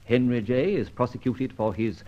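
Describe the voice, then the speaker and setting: An actor playing a suave, witty British gentleman outlaw in an old radio detective drama, wordy and mock-courteous. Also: medium pitch, normal speed, lo-fi sound, natural voice. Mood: brisk, assured.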